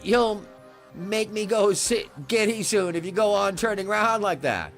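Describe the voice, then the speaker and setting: A man's voice: sits high, animated, a little slow, a bit bright, faint music behind, pitched above the performer's own voice, exaggerated voice. A man voicing a crotchety old female sheep who keeps a shop, bleating "bah" between her phrases. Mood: scolding.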